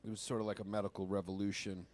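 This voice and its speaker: A man's voice: fairly low pitch, little pitch movement, rapid-fire, darkish tone. A man whose first language is Greek, co-hosting a comedy history podcast in a rambling, casual way, often stumbling over words. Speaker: podcast co-host.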